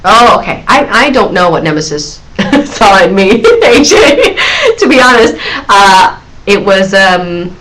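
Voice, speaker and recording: A woman's voice: medium pitch, sing-song, a little slow, fairly bright tone, slight background noise, low-quality recording. A woman in her thirties hosting her own live question-and-answer stream, chatting loosely with lots of giggles, fillers and restarts.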